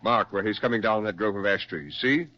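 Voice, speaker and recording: An actor playing a stern, insistent doctor who presses and commands in a 1940s radio drama style. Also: male voice, on the low side, fairly quick, natural voice. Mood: brisk, pressing. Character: stern, insistent male doctor pushing his frightened patient. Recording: dull, lo-fi 1940s radio recording.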